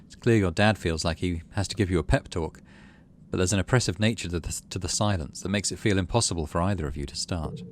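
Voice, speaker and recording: A man's voice: low voice, quick pace, slight background noise. A man hosting a gaming video, reading visual novel text aloud and adding casual commentary. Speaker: gaming channel host.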